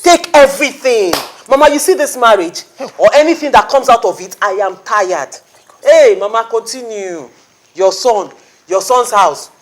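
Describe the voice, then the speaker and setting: A woman's voice: a little high, expressive, moderate pace, lightly acted. A woman playing a scornful defiant wife. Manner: mocking, scornful. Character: defiant wife about to emigrate with her children.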